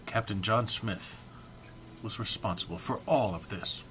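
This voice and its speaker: A man's voice: fairly low pitch, measured pace, fairly bright tone, slight background noise. A man giving an amateur, theatrical dramatic reading of a humorous text, prone to amusement and stumbles. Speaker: amateur reader.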